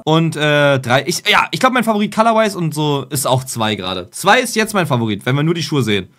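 Young guy streaming, bright high voice jumping all over, loud and blunt. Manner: loud, blunt, slangy and teasing. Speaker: streamer reacting to a video. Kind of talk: casual reaction commentary. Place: bedroom streaming room, indoors.

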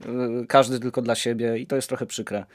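A man's voice: mid-range voice, fairly even intonation, fairly quick, dry recording, clean studio sound. A young man reflecting casually in a colloquial, explanatory way. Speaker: participant in a community audio drama project.

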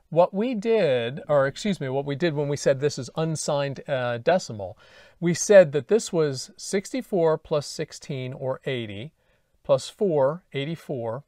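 A man in his fifties lectures in a conversational, think-aloud manner. His pitch moves around a great deal as he poses questions and works through the answers. He is captured on a lapel mic in a dry studio with almost no room sound. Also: a little mellow, no echo. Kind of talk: explanatory lecture with worked examples. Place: indoor studio at a glass lightboard.

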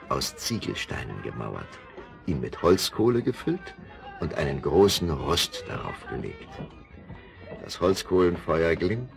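Very deep storybook narrator, man. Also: vocal fry. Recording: vinyl audio drama with music behind.

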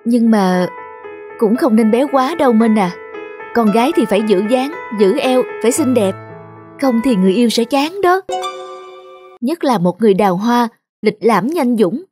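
A woman voicing a caring, frank young best friend who gives concerned advice in animated cartoon dialogue. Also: a little high, normal speed, long pauses, close to an everyday voice. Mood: cautioning. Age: young adult. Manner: advising, concerned. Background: music.

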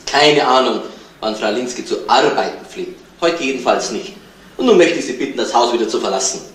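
A man's voice: medium pitch, animated, brisk, a bit bright. A man playing a brusque, indignant landlord in a 1970s radio crime drama, speaking in a curt, stiffly formal, complaining way. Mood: curt, hostile. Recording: distant, echoey, noisy old radio play.

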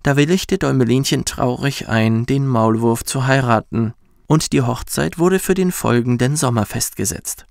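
A man narrating a children's bedtime story in a soft, soothing, measured way. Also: medium pitch, creaky, no room sound, studio quality. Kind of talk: gentle scripted storytelling for children.

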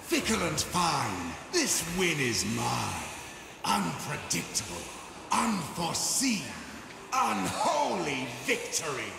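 A shapeshifting chaos daemon, gleefully mischievous and theatrical, made for a fantasy game. The pitch leaps wildly from low to high, the words are drawn out very slowly, and the voice creaks with gravel. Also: male voice, huge pitch swings, noisy background, full character voice. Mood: playful, triumphant. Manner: theatrical, mischievous, riddling delivery. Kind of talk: fantasy video game character lines.

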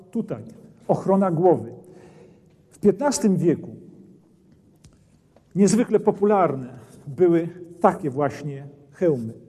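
A man's voice: a little high, warm tone, husky. Middle-aged man presenting history in a hall. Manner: explanatory, points at armour as he speaks. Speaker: documentary presenter. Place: dim brick castle armoury, indoors. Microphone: lapel mic.

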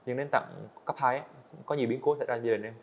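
A man's voice: medium pitch, steady tone, very fast, a little mellow, a little hiss or hum. An eighteen-year-old man, telling his story candidly as a podcast guest in a studio. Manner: earnest, candid, hesitant restarts. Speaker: guest animator. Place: indoor studio set. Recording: dull lo-fi sound.

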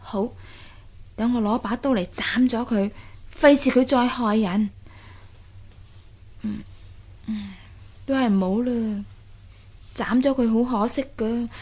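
A woman's voice: on the high side, darkish tone. An actress playing a curious, plucky young fisher maiden in a radio drama, exclaiming and sighing as she talks to herself. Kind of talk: Cantonese radio drama acting.

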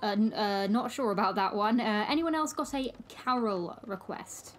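A woman plays a bossy carol choir leader in an audio drama. She is theatrical, eager and urgent, at a medium pitch, with some train noise around her. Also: lively intonation, normal speed, natural voice. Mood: cheery, showy. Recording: noisy train carriage ambience.

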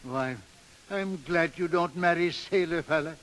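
In an echoing 1930s radio play, an old Swedish father. His voice is fairly high for a man. He grumbles stubbornly in thick broken English. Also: unhurried, fairly bright tone. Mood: worried. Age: older. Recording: old reverberant radio broadcast.

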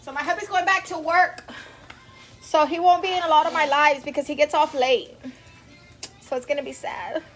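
A chatty woman in her thirties with a high voice hosts a live cooking stream from her home kitchen. She talks loosely, laughs often and calls out to viewers by name. The sound comes from a phone set a few steps away. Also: fairly even intonation, moderate pace, long pauses, fairly bright tone, distant mic, faint music behind, rough recording. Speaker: vlog host cooking live. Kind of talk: casual live-stream chat. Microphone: phone camera a few steps away.

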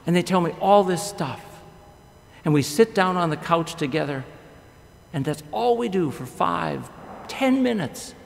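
Middle-aged male pastor, slightly high and gravelly, animated storyteller, podium mic in an echoey chapel. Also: measured pace. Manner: animated storytelling with wide gestures and rhetorical questions. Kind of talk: chapel sermon. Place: large chapel with long reverb. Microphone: podium microphone.

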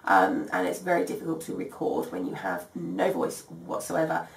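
A woman's voice: rumbling low, sing-song, moderate pace, creaky. A woman in her thirties, voice strained from a recent cold, chats through a sewing tutorial with many ums and apologies. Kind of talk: casual craft tutorial. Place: home dining room by garden windows. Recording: echoey room, slightly distant mic.

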